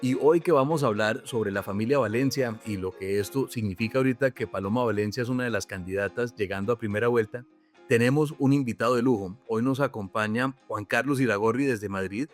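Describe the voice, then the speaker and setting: A man's voice: mid-range voice, brisk, faint music behind. Man hosting a podcast. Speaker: podcast host. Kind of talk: scripted podcast narration and introduction.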